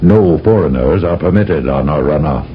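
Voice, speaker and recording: A very deep-voiced grave general. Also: male voice, normal speed. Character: grave elderly military general giving orders. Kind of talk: 1970s radio drama acting. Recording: dull old radio recording with faint noise.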